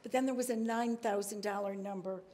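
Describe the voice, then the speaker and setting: A woman's voice: medium pitch, fairly even intonation, a little fast, dark tone. A woman in the audience at a forum, politely and carefully asking a question about figures.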